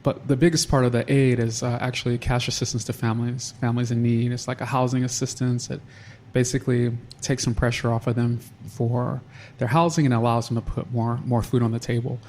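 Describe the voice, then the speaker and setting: A man guest on a podcast, talking through how he started a charity in a halting, unhurried way with frequent restarts. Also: medium pitch, little pitch movement, darkish tone, slight background noise. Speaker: nonprofit founder, guest. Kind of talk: casual interview.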